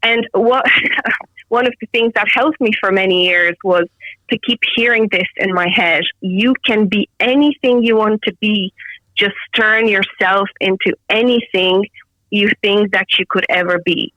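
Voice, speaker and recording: A woman's voice: mid-range voice, a little slow, sharp tone, dry recording, highs cut off. A woman from Romania, speaking earnestly as a guest in an interview and often restarting her sentences. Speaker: guest solicitor. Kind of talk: conversational interview.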